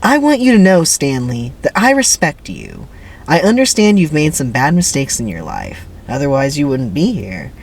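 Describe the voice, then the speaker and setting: Male camp counselor acted out by a script reader: falsely friendly, emphatic, highly animated, faint background noise. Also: normal speed, creaky. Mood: earnest, encouraging. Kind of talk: dramatic script reading.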